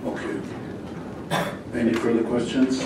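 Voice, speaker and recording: A man's voice: mid-range voice, completely flat delivery, unhurried. A man chairing a public board meeting, calling speakers in a polite, procedural way. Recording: echoey, distant, noisy room recording.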